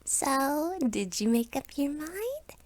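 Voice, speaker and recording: A woman's voice: sits high, sing-song, slow, pitched above the performer's own voice, full character voice. A woman voicing a bratty young succubus vampire girl, teasing and menacing, in an anime-style roleplay. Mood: taunting. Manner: taunting, flippant.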